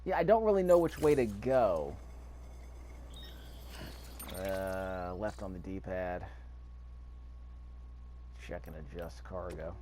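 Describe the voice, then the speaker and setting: A man with a fairly low voice talks casually through a video game as he plays. He thinks out loud, grumbles a little and keeps asking himself questions. Faint game ambience sits behind his voice. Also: lively intonation, slow, pauses often, a little hiss or hum. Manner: thinking aloud, mildly grumbling, rhetorical questions. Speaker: video game player narrating a playthrough. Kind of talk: casual gameplay commentary.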